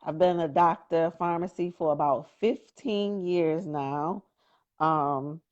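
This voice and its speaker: A woman in her forties from Connecticut, a guest on a live video chat, talking in a relaxed, friendly way with many ums. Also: fairly low pitch. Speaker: interview guest, pharmacist. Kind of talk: casual live-stream chat. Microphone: phone on a video call. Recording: muffled phone call audio.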